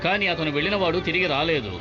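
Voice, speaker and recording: A man's voice: on the high side, racing, lo-fi sound, over music, close to an everyday voice. A man voicing a seasoned sea traveller in a cartoon, speaking in an earnest, assured way. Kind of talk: dubbed cartoon acting.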